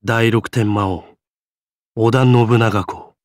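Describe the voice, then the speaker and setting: Affable, easygoing gentleman, dry studio sound. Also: fairly low pitch, steady tone, takes it slowly, no room sound. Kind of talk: game character voice lines.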